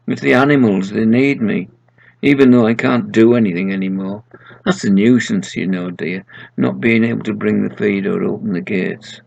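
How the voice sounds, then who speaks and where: A man voicing the ghost of a gentle old countrywoman who frets over her animals in a story. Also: lowish voice, steady tone, normal speed, vocal fry, no echo, dull audio. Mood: gentle, worried.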